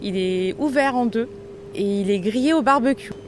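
A woman's voice: a little high, normal speed, slight background noise. Matter-of-fact woman shop owner. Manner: plain, matter-of-fact answers. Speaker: snack bar owner. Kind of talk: radio interview guest.

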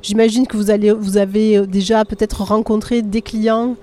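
A woman interviewing guests for local radio, asking questions in a hesitant, halting way. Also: medium pitch, little pitch movement, dark tone.

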